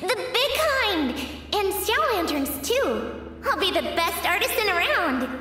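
A woman's voice: very high-pitched, sing-song, takes it slowly, over music, exaggerated voice. A woman playing a confident small child in a video game memory, with a strong distant echo. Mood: proud, dreamy.

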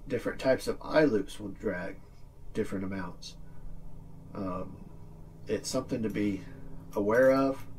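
A middle-aged man with a husky voice giving a casual, plain-spoken instructional demonstration, recorded indoors from a few feet away. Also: fairly low pitch, measured pace, pauses often, a little mellow, distant mic, slight background noise. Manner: plain-spoken, informal explaining. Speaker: fishing video presenter. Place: small indoor room with green screen backdrop.

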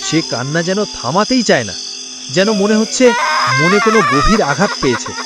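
A man telling a first-person ghost story as narration for a cartoon, in a lively storytelling manner. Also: high-pitched, normal speed. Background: loud music with crickets chirping.

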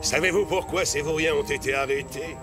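An actor playing a pompous, scheming, tyrannical military commandant in a cartoon, grandiose and theatrical. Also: male voice, a little high, a little fast, over music, close to an everyday voice. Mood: smug, scheming. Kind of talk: animated cartoon dubbing.

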